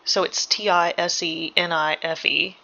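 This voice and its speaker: A woman giving casual, analytical game reaction commentary, hesitant and thinking out loud. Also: on the low side, steady tone, unhurried, vocal fry, lo-fi sound. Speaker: reaction video host.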